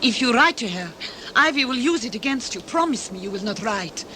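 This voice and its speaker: An actress playing a devoted, worried wife in a radio play, speaking in an earnest, pleading way. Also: female voice, fairly high pitch, expressive, fairly quick, natural voice. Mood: pleading, sad. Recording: noisy ambient effects behind.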